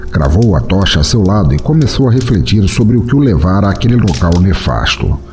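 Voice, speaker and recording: A man giving a theatrical, scripted narration of a dark fantasy tale as a storyteller. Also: low voice, sing-song, brisk. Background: music.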